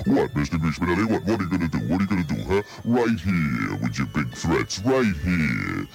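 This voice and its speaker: A man voicing a greedy, pushy male monster living under a bed in a comedy radio bit, with a gravelly, demanding voice. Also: a bass voice, very animated, brisk, faint music behind, heavily acted. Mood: taunting.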